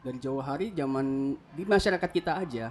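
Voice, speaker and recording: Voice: mid-range voice, fast talker, a little hiss or hum. A speaker, joking and rambling in a casual group chat.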